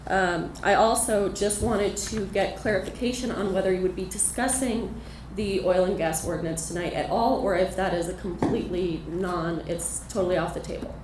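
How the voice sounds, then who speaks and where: Low-voiced woman, distant in echoey hall. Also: normal speed. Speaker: attorney giving public comment. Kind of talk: public comment at a county meeting. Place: county commission meeting room. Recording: distant, echoey, noisy room.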